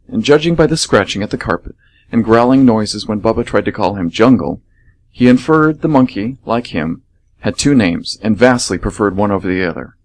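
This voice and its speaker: A man's voice: on the low side, darkish tone. A man reading scripted story narration for a radio theatre piece. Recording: faint background noise.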